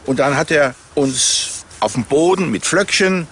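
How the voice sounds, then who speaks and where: A man's voice: a little high, expressive, a little slow, a bit bright. Older man explaining outdoors, faint background noise. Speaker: treasure hunter and architect, interviewee. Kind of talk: explanatory interview talk. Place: outdoors in a meadow by trees.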